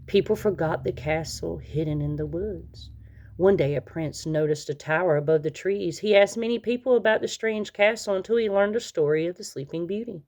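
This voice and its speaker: A woman reading a children's story aloud as narrator, in an expressive storytelling manner that leans on key words. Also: lowish voice, moderate pace. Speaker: storybook reader.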